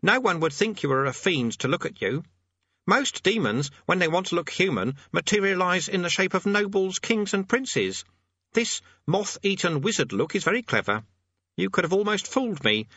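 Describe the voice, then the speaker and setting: High, squeaky, blustering teenage boy. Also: sing-song, moderate pace, bright, forceful tone, slightly rough, no room sound, dull audio, full character voice. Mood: eager, chatty. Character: boy demonologist posing as an old man, eager, squeaky, petulant.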